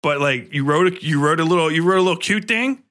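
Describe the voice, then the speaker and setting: A man's voice: on the high side, fast, fairly bright tone. A man, sounding middle-aged, hosts a podcast with casual, joking, freely swearing banter. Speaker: podcast host.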